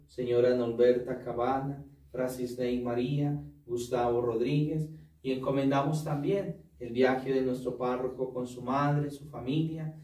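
A priest leads Mass in a small chapel, his mellow, dark voice reciting prayers in a measured way. He sounds distant from the mic, with the room's echo around him. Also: mid-range voice. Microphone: far camera. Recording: echoey room, distant mic.

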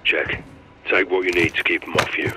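A cold, dry-humoured lieutenant in a shooter game. His voice sits at the very bottom of the range with a hard, forceful edge. Lines are clipped and deadpan, heard over a military radio with faint noise behind. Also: low voice, normal speed, low-quality recording. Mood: terse, calm. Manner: terse, deadpan. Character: stoic, dry-witted male special forces lieutenant.

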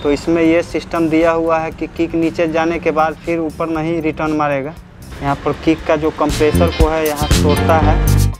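Male mechanic with a high voice narrating a workshop tutorial, music clearly audible behind him. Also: monotone, normal speed. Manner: explanatory, guides the viewer step by step. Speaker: motorcycle mechanic. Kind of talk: workshop repair tutorial, narrating over close-up work. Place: motorcycle workshop.

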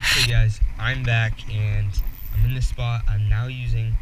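A young man fly fishing on a wooded riverbank, talking excitedly to camera in casual play-by-play. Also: medium pitch, a little slow. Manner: excitable, rambling, talks while fishing. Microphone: head-mounted action camera. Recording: outdoor camera mic, distant voice, noisy.

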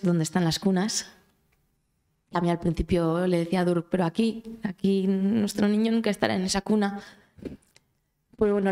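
A woman in her thirties whose first language is Basque, speaking with a Basque accent into a handheld mic in a conference room, telling a personal story in halting speech with many pauses. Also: mid-range voice, darkish tone. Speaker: audience member sharing her story. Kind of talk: emotional personal testimony. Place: conference room of a cultural centre. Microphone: handheld microphone.

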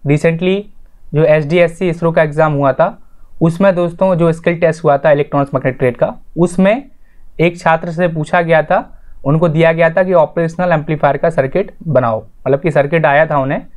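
A man's voice: fairly high pitch, a little mellow. A man hosting an informal explanatory voice-over lesson, addressing viewers directly in an instructional way and restating his points. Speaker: tutorial host.

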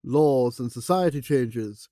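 A man's voice: mid-range voice, slow, dark tone, no echo, studio quality, natural voice. An actor playing a flustered male politician who gives pompous, evasive answers and stumbles over his words. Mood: blustering.

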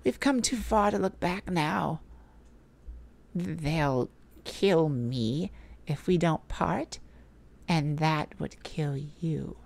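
A woman playing a tender, heartbroken but resolute mage in an emotional audio roleplay, speaking intimately and haltingly with a pleading manner. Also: low voice, gravelly, a little mellow, heavily acted. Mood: anguished, disbelieving.

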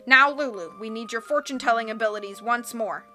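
A woman's voice: fairly high pitch, a little fast, pushed and bright, soft background music. A woman voicing a boastful, menacing villainous man, an imperious gang boss in a storybook. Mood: impatient.

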